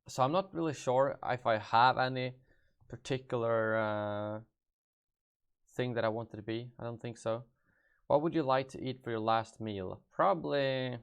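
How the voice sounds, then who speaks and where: Hesitant young Swedish man. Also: mid-range voice. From Sweden. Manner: thinks aloud, hesitant and offhand. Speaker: gaming YouTuber. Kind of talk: live-stream Q&A, reading viewer questions aloud.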